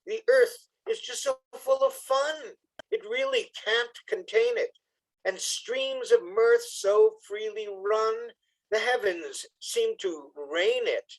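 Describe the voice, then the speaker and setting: A man reading poetry and introducing it informally to an audience, in a measured, expressive way. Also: high voice, low-quality recording. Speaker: congregation member reading poetry.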